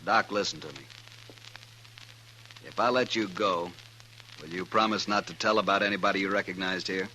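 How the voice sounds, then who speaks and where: Low, menacing Western outlaw boss. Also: male voice, fairly even intonation, moderate pace, long pauses, bright, forceful tone. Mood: stern, threatening. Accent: American. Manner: folksy drawl wording, threatening. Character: menacing male outlaw gang leader, wary but decisive. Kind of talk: 1950s radio western acting. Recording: noisy old radio recording.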